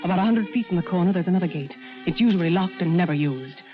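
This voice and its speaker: An actress playing a tense woman who narrates her part in a murder plot, in deliberate 1940s radio suspense style. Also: lowish voice, expressive, lightly acted. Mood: hushed, tense. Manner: dramatic, deliberate, suspenseful delivery. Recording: muffled vintage radio recording, loud music behind.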